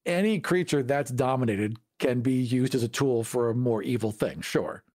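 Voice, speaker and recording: A man's voice: medium pitch, moderate pace, darkish tone. A man co-hosting a podcast, chatting casually and off the cuff about tabletop games. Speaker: podcast co-host. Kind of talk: casual chat about tabletop game monsters.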